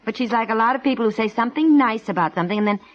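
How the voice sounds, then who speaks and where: An actress playing a sensible, reassuring wife and niece in a 1950s radio drama, chatting conversationally. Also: female voice, fairly high pitch, very fast, close to an everyday voice. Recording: dull vintage 1950s radio recording.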